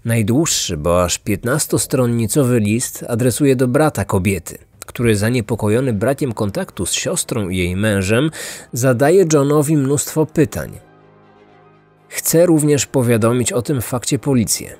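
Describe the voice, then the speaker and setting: A man narrating a scripted true-crime story as a podcast host, in a measured storytelling manner. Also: mid-range voice, expressive, darkish tone, dry recording, faint music behind.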